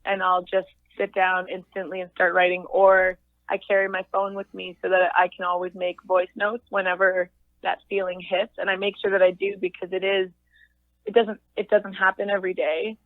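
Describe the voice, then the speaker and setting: A young woman with a low voice, chatting in an interview as a singer-songwriter, thoughtful and halting with many restarts. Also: monotone, dry recording. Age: young adult. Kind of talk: casual interview chat. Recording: tinny phone line.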